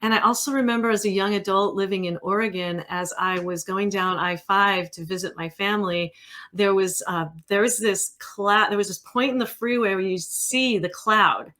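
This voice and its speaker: A woman's voice: medium pitch, fairly even intonation, moderate pace, bright, forceful tone. A woman in her fifties from California with an American accent, hosting an interview and talking casually from personal memory. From California, United States. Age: 50s. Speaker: interviewer, host. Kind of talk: casual conversational reminiscing.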